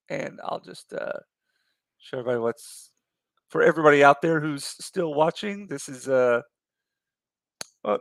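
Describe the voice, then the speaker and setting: A hoarse man with a gravelly, creaky voice hosts a late-night art stream and drifts through relaxed, rambling chat. His voice sits a bit high for a man and sounds close and dry, with no room around it. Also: dry recording. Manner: relaxed, rambling, many fillers. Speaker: comic artist hosting a live art stream.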